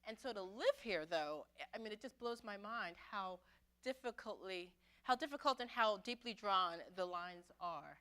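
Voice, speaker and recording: A middle-aged woman from Brooklyn, a pastor telling her own story to an adult forum in a conversational, reflective way, often pausing and restarting. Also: mid-range voice, expressive, bright, forceful tone, smooth. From Brooklyn, New York City. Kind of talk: personal testimony talk. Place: church meeting room.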